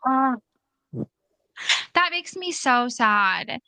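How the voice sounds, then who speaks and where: A woman's voice: high-pitched, very animated, extremely slow, pushed and bright, clean tone, no room sound. A woman hosting a podcast, chatting casually and speaking her opinions bluntly. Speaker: podcast host.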